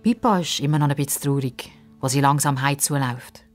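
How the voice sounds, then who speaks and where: A woman's voice: very deep voice, a little fast, soft background music. A woman narrating a children's story expressively from a script.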